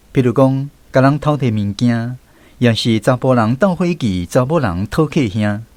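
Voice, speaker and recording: A man's voice: medium pitch, a little mellow, no room sound. A man hosting and narrating a radio drama episode in a storytelling manner. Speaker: host and narrator.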